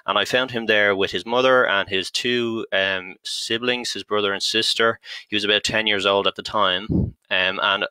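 A man in his thirties with a Dublin Irish accent, presenting a live webinar in a loose, chatty way. His voice sits low yet sounds very bright and forward, recorded dry and close. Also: fairly even intonation, normal speed, smooth, no room sound, rough recording. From Ireland. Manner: chatty, frequent ums and restarts. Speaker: genealogy company content strategist presenting a webinar. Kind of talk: live-streamed online talk.

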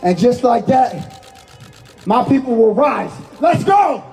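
A man's voice: extremely high pitch, unhurried, creaky, a little mellow, soft background music. A man performing spoken-word protest poetry in an impassioned, emphatic way, repeating key lines for effect. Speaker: spoken-word poet and activist speaker.

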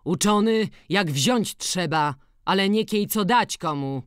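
A man's voice: high-pitched, lively intonation, slow, a bit bright, clean tone, dry recording, clean studio sound, pitched above the performer's own voice, full character voice. A man voicing a shrewd, spiteful village blacksmith in an audiobook, with an ironic, needling delivery in rural dialect. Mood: mocking. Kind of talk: dramatized audiobook reading of rural dialect dialogue.

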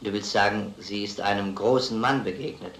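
An actor playing an earnest, methodical police lieutenant, speaking deliberately and plainly in an old crime drama. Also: male voice, on the low side, a bit bright, lightly acted. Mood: patient, sceptical. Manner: deliberate, plain-spoken, addresses children gravely. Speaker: police investigator. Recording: echoey, noisy old film soundtrack.

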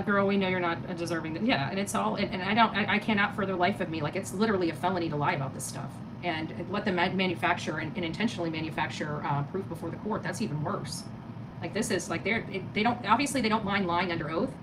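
A middle-aged American woman giving casual livestream commentary and chatting with viewers in an emphatic, indignant, repetitive way. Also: lowish voice. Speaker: live stream host. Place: small living room, indoors. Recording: clearly noisy home phone recording.

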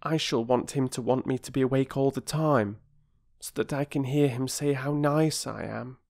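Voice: mid-range voice, moderate pace, gravelly, darkish tone, voice pushed up, natural voice. A narrator voicing an eager, chirpy young girl in a children's storybook. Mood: dreamy.

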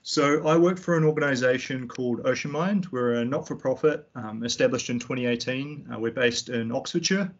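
A young man with a New Zealand accent, giving a tutorial over a slide presentation in a relaxed way, with frequent ums and restarts. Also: medium pitch, a little fast, few pauses, muffled recording. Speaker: fisheries analyst giving a tutorial. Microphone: computer mic on a video call.

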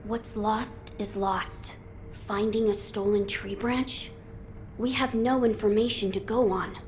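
A woman playing a young noble boy lord in a video game, formal, measured and pensive. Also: mid-range voice, steady tone, darkish tone, faint music behind, natural voice. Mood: grave, pensive.

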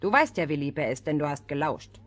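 A woman's voice: sits low, animated, brisk. A woman playing a wild, bossy, teasing young robber girl in a fairy-tale record play. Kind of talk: radio play acting.

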